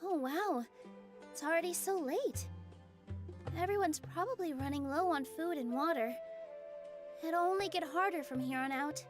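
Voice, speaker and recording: A young game character hosting a contest in a high voice. She is polite and presenterly, with clear music behind. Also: moderate pace, pauses often. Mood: polite, upbeat. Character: bright, polite young female contest host. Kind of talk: video game dialogue.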